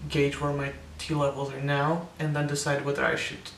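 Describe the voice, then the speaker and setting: A man's voice: medium pitch, monotone, normal speed, fairly bright tone, reverberant, recorded from a distance, a little hiss or hum. A young man giving a casual, hesitant personal update, full of ums and restarts, his voice sometimes cracking with air breaking through. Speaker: vlogger.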